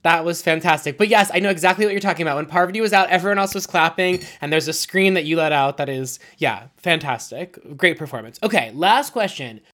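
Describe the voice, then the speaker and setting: A man's voice: fairly high pitch, fast, hardly any pauses, pushed and bright. Rambling, chatty podcast host man. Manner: long run-on questions with frequent self-corrections. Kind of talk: casual interview chat.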